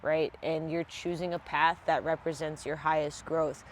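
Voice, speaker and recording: Casual tarot vlogger, congested, mid pitch, laid-back, swears freely, faint hum of noise behind. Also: level tone, moderate pace. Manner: casual, blunt and profane. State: congested from a sinus infection. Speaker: tarot reader. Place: at home.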